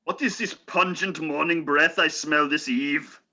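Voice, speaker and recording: Bright, cheeky male folktale hero. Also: on the high side, steady tone, a little slow, highs cut off, heavily acted. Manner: mock-archaic, wordy bargaining. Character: cheeky, quick-witted human captive of trolls.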